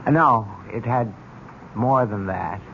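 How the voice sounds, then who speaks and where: Courteous police lieutenant, muffled radio sound. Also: male voice, on the low side, vocal fry. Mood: polite, grave. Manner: measured, courteous. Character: polite, measured male police lieutenant. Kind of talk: 1970s radio drama acting. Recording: dull, noisy old radio recording.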